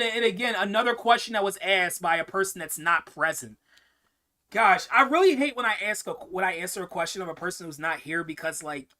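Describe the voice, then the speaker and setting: A man's voice: high-pitched, sharp tone. A young man hosting a casual live-stream Q&A, answering viewer questions in a rambling way, often repeating words and restarting sentences.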